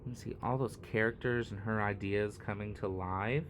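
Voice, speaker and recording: A man reading a picture book aloud expressively, in short phrases with deliberate, dramatic pauses. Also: on the low side, steady tone, fairly bright tone, slight background noise. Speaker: read-aloud storyteller.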